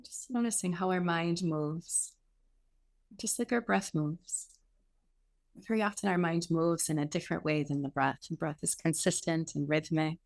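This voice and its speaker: A woman meditation teacher giving gentle guided instruction in a soothing, unhurried way with pauses between short phrases. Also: deep voice, gravelly.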